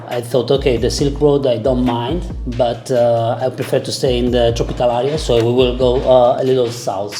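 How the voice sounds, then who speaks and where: A man, answering interview questions in halting English with many fillers and easy laughter. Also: mid-range voice, dark tone, roomy sound. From Italy. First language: Italian. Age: 40s. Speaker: interviewee, overlander. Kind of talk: casual interview.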